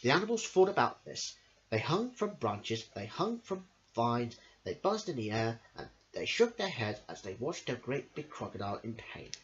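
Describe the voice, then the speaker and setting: Animated male storyteller, wildly varied pitch. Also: normal speed, a little hiss or hum. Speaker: amateur storyteller, channel host. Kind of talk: children's story reading. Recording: dull lo-fi home recording.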